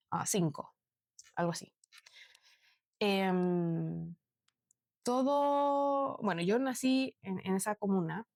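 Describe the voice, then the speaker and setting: A woman in her late twenties from Chile, with a Chilean accent, talking earnestly and slowly to her viewers about her life, with many pauses and fillers. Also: medium pitch, darkish tone, dry recording, clean studio sound. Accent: Chilean Spanish. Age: 20s. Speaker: vlogger. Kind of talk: reflective personal talk to viewers.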